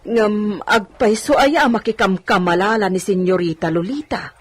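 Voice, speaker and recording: An actress as an older village servant woman in a radio drama. Her husky voice is earnest and exclaiming, anxious and persistent as she coaxes. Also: mid-range voice, moderate pace, no room sound, lightly acted. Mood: anxious, urging.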